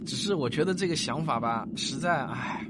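A man's voice: on the high side, animated, normal speed, very noisy, lightly acted. An actor playing an affectionate, indulgent father in a science-fiction radio drama, coaxing and exclaiming with sighs. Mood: astonished. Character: affectionate, indulgent father humouring his young daughter. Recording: music bed behind the voice.

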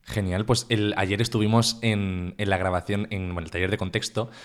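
A man's voice: fairly low pitch, flat delivery, normal speed. A man co-hosting a casual podcast, joking and teasing, swearing freely, recorded in his guests' home. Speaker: podcast co-host. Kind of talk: casual banter.

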